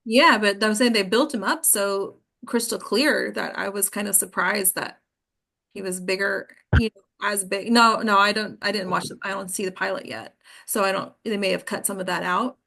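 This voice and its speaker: Bright-voiced woman leading a group discussion. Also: medium pitch, fairly even intonation, moderate pace, creaky. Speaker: acting class host.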